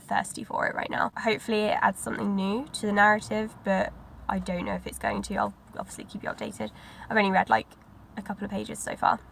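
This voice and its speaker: A woman's voice: mid-range voice, creaky, a little hiss or hum. A woman with a cold, sounding bunged up and sniffly, chatting casually in a rambling reading vlog. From Britain. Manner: rambling, many likes and ums. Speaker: book vlogger.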